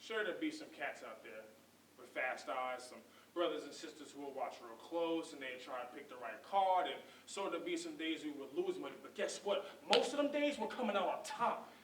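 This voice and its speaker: A man performing an eager, scheming hustler in a theatrical monologue, talking persuasive, excited street talk. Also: on the high side, brisk, noisy background, natural voice. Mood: scheming, dreamy. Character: eager, scheming, smooth-talking hustler pitching his brother. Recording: echoey room from distant mic.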